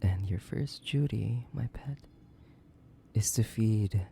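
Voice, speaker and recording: A man playing a sadistic, possessive, centuries-old vampire in a horror roleplay, theatrical and menacing, with a creaky voice that lapses into breathy whispers. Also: lowish voice, slow, mellow, a little hiss or hum. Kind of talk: dramatic horror roleplay audio, addressing the listener.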